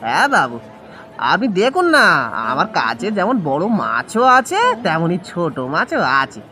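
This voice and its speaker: Humble cartoon fish seller, played by a man: high, bright, very animated, deferential. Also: moderate pace, a faint crowd, a little hiss or hum, full character voice. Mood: polite, eager. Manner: deferential, imploring, calls out like a vendor. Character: humble, pleading village fish seller, a working father. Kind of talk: cartoon voice acting in Bengali village story.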